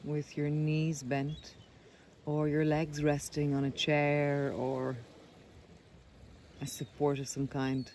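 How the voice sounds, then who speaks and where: A man leading a guided body scan meditation, speaking gently and unhurriedly with pauses between phrases. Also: on the high side, completely flat delivery. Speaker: meditation guide, breath coach. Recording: clear background noise of sea sounds. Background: sea sounds.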